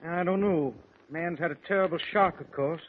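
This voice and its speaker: A man's voice: on the high side, normal speed, lightly acted. A man playing a grave, thoughtful hospital doctor in a 1940s radio drama. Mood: concerned. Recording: tinny, noisy 1940s radio recording.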